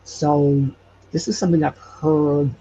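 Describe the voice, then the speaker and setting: A man from Hawaii speaking as an interview guest, explaining local legends haltingly as he searches for words. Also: a little high, level tone, dark tone. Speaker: podcast guest. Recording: dull, noisy audio.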